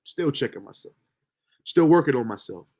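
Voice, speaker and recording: A man's voice: medium pitch, lively intonation, normal speed, mellow, slightly rough. A young man hosting a livestream, talking loosely in slang and swearing as he gives himself a pep talk. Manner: loose, slangy, motivational self-talk with profanity. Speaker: livestream host. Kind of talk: casual livestream monologue.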